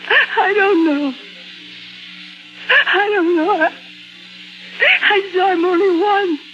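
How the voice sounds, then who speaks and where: An actress playing an anguished woman patient with lost memory, in an intense, halting 1950s radio drama style. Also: extremely high pitch. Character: anguished amnesiac woman patient who believes she murdered. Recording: muffled vintage radio recording with music.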